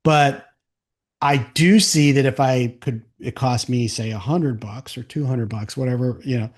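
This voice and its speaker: A man with an average-pitched voice speaks as a podcast host. His delivery is conversational and plain-spoken, with an explanatory bent, as in an informal business interview. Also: measured pace.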